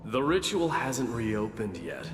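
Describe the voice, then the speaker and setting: A man's voice: medium pitch, sing-song, takes it slowly. A man playing a proud, mocking young warrior prince in an English anime game dub, with a sardonic, haughty manner. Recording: echoey hall reverb, faint music.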